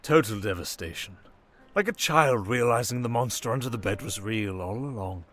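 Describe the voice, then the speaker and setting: A man playing a suave, manipulative criminal mastermind in an intimate ASMR roleplay, with a coaxing, condescending, theatrical delivery full of drawn-out pauses. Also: lowish voice, expressive, fairly bright tone. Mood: amused, nostalgic. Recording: music behind the voice. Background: music.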